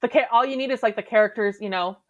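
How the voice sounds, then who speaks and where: A woman's voice: fairly high pitch, fast talker. A woman hosting a casual podcast chat, often chiming in with agreement and laughing along. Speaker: podcast host. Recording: slightly dull lo-fi audio.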